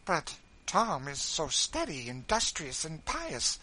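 Man voicing an earnest middle-aged spinster, energetic, pleading, high, bright. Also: takes it slowly, husky, full character voice. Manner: earnest, pleading, raised pitch.

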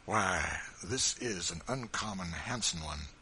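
A man voicing a huge, kindly older farmer with a rustic Kentucky dialect, talking in a loud, folksy, emphatic drawl. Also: low-pitched, animated, slow, slight background noise, heavily acted. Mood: sympathetic.

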